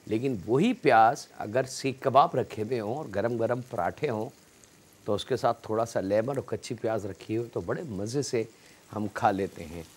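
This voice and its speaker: A man's voice: lowish voice, normal speed, a little hiss or hum. A man with a Pakistani Urdu accent hosting a cooking show, politely addressing viewers as he explains each step of the recipe.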